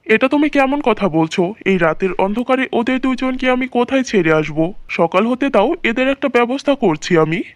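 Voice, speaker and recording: A well-meaning, henpecked uncle from a cartoon fairy tale , acted by a woman. He speaks in a lowered, troubled but reassuring voice, recorded dry. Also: animated, moderate pace, dry recording, natural voice. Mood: dismayed. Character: concerned, hospitable uncle, a henpecked husband.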